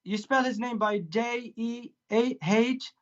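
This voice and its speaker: A man whose first language is Arabic speaks as a caller in a livestream debate, halting and hesitant, stumbling over his words. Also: very high voice, lo-fi sound.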